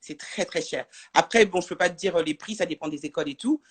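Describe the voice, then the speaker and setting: A woman's voice: fairly low pitch, fast, husky. A woman from France, talking as a guest in a casual live interview, hesitant and full of fillers and restarts.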